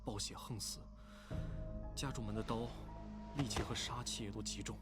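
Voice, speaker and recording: A man playing a timid, evasive young clan leader in a Chinese animated drama, speaking in a hesitant, pleading, flustered way. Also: fairly low pitch, normal speed, natural voice. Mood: reluctant explaining. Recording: very loud background music.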